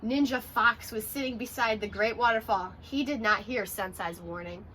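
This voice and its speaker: A woman in her twenties narrates a picture book for children. Her voice is high and bright, with a dramatic, measured delivery. She is recorded outdoors, with some background noise. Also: rough recording. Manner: dramatic, carefully paced for children. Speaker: teacher reading aloud. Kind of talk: expressive children's storybook narration. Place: outdoors on a porch by a brick wall.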